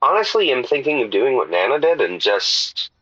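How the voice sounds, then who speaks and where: A man's voice: mid-range voice, sing-song, normal speed, fairly bright tone, dry recording. A man playing a put-upon, hesitant caller on a comedy radio advice show, telling his troubles plaintively through a dull lo-fi line. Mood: aggrieved, sheepish. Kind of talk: improvised comedy radio call-in.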